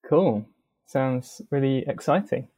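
A man hosting a podcast interview over a video call, relaxed and a little hesitant, with fillers. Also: mid-range voice, slow. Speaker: podcast host. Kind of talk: friendly interview.

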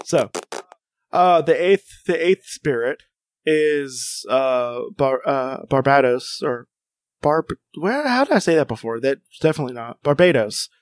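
A man's voice: mid-range voice, lively intonation, unhurried, no room sound, studio quality. A man co-hosting a podcast in casual, playful chat, rambling with many fillers. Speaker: podcast co-host.

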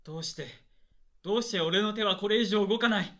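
A man's voice: high-pitched, brisk, bright, forceful tone. A man acting as an earnest stage actor in a comic radio drama, polite but bluntly honest with his director. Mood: melodramatic anguish. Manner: polite, matter-of-fact junior talking back.

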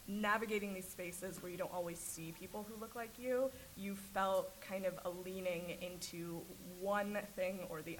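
A woman's voice: mid-range voice, a bit bright, a little hiss or hum, low-quality recording. Hesitant woman asking a long question. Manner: hesitant, lots of "like" and "kind of". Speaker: panelist. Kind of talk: panel discussion, asking a question.

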